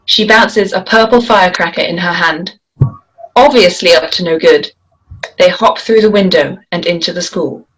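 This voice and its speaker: A woman's voice: lowish voice, moderate pace, slight background noise, poor audio. A woman reading scripted stage directions as the narrator of a screenplay.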